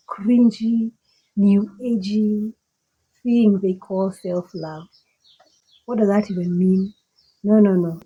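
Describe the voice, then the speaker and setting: A woman with a Kenyan accent and a slightly husky voice, giving an emphatic, deliberate motivational monologue that repeats phrases for effect. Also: mid-range voice, mellow, dull audio. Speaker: motivational speaker.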